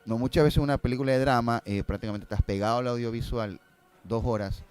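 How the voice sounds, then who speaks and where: A man's voice: medium pitch, fast, darkish tone, clean tone, faint music behind. A man speaking as a filmmaker guest in a casual interview, explaining and telling anecdotes, often tagging phrases with a questioning "no?".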